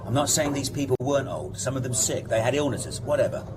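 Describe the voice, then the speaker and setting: An actor playing a determined police detective in a radio drama, firm, insistent and deliberate in his persuasion. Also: mid-range voice, lively intonation, noisy background, close to an everyday voice. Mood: insistent, convinced. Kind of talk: naturalistic radio drama acting.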